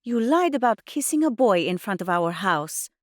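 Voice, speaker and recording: An anxious, weary mother voiced by a woman narrator, scolding and urging her teenage daughter. The storybook voice sits higher, with a dry, close sound. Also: sing-song, normal speed, dry recording, close to an everyday voice. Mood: disappointed. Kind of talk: dramatised audiobook dialogue.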